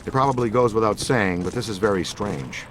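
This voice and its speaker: An actor playing an earnest, excitable middle-aged paranormal investigator in a video game, delivering jargon with boyish zeal. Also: male voice, fairly low pitch, a little fast, background noise, lightly acted. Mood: puzzled, awed.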